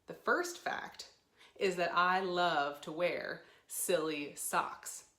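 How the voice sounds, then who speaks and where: A woman hosting a storytime for young children, talking to them in an animated way with simple questions and encouragement. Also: fairly low pitch, slow. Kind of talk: children's storytime presenting to camera. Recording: roomy, echoey sound.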